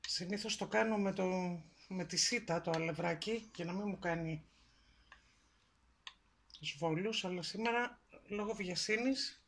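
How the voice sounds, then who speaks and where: A woman's voice: mid-range voice, pauses often, a bit bright. A middle-aged woman hosting a home cooking demonstration in her kitchen, explaining each step in a homely, encouraging way. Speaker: cooking show host. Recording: kitchen noise behind voice.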